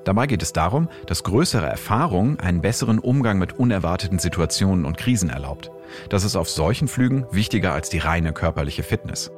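A man's voice: sits low, animated, a little fast, soft background music. A man hosting with scripted, explanatory science narration. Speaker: host and science commentator.

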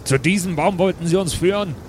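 A man's voice: high voice, brisk, a little mellow, a little hiss or hum, pitched above the performer's own voice, natural voice. A man playing a puzzled, formally polite dwarf adventurer who questions plainly in an improvised audio play.

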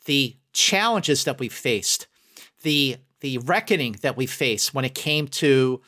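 A man's voice: mid-range voice, expressive, slow, pushed and bright. A middle-aged man speaking as a guest critic in a podcast discussion, effusive and emphatic, often repeating words to drive a point. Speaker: guest film critic and entertainment journalist.

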